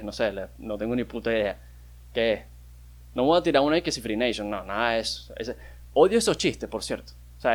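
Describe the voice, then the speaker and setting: A young Venezuelan man chats alone into a podcast mic in a loose, rambling way. He jokes, swears freely and stumbles into restarts mid-thought. His pitch sits mid-range for a man. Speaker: podcast host. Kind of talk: casual solo podcast monologue.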